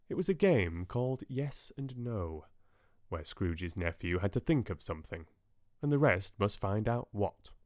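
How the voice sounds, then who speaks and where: Low-voiced man narrating, measured and expressive. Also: no echo, clean studio sound. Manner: expressive, dramatic storytelling. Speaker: audiobook narrator. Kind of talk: scripted narration of classic literature.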